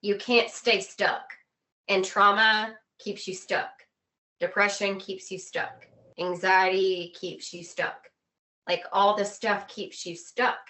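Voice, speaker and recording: A woman's voice: mid-range voice, little pitch movement, slow, pushed and bright. A woman interview guest explaining things in an earnest, conversational way, with emphatic, repeated phrasing.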